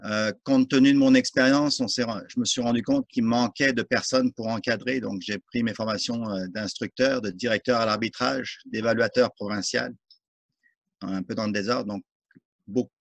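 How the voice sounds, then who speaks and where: Referee, measured and orderly. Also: male voice, mid-range voice, no room sound. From France. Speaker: guest, soccer referee and volunteer. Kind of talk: interview answers.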